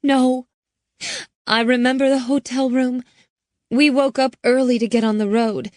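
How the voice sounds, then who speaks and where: A terrified young girl, her voice pitched high and cracking with panic as she fights back tears, acted by a woman reading aloud. It is a dry, close recording with no room sound. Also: fairly even intonation, a little slow, a little mellow, dry recording. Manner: tearful, panicky, breaking voice. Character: frightened young girl, kidnapped and crying.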